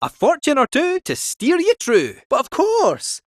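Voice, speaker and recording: A man's voice: very high-pitched, huge pitch swings, a little slow, no room sound, studio quality, heavily acted. A man voicing a cheeky, talkative male fortune-telling robot cat in a video game, with a Scottish accent and cheery sing-song salesman patter. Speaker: game character. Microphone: close studio mic.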